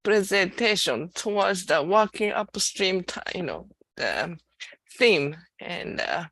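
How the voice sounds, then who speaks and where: Slow, halting woman presenter. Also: on the low side, big pitch swings, fairly bright tone, no echo. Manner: halting, hesitant with many restarts. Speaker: system developer manager presenting a training. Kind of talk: slide presentation.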